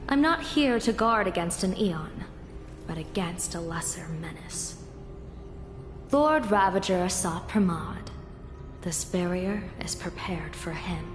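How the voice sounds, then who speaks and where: Game role: poised female general, measured and wry, lower register, roomy echo. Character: poised, shrewd female general, calm and wryly amused. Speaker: military commander. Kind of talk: video game voice acting.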